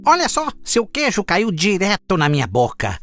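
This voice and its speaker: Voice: medium pitch, wildly swooping pitch, moderate pace, no echo, faint music behind. An actor voicing a clever, sly fox in a children's cartoon fable, coaxing and smug in a theatrical way. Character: clever sly fox who sweet-talks and teases.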